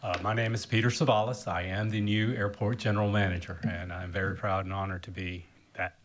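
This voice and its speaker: Casting note: man in his fifties, airport manager giving formal remarks at a council meeting, politely, a bit low-voiced. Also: little pitch movement, brisk, slight background noise. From South Carolina, US. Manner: polite, formal, with frequent restarts.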